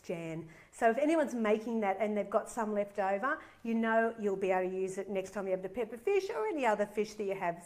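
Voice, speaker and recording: A middle-aged woman hosting a live cooking demonstration from her kitchen, chatting bubbly and enthusiastic, a bit nasal from a head cold. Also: mid-range voice. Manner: bubbly and enthusiastic, reading out viewer comments. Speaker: cooking show host. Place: home kitchen.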